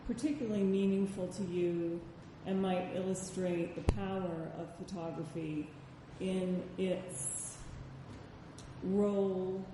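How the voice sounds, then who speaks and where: A woman moderating a panel, asking her question slowly and deliberately. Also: fairly low pitch. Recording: distant echoey hall with noise.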